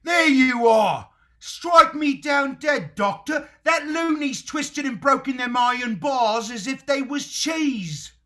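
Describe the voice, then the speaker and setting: Comic-toned asylum attendant: extremely high, sharp, grumbling, excitable, radio play. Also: male voice, slow, heavily acted. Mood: alarmed outburst. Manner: exclamatory, folksy grumbling. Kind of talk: theatrical radio play acting.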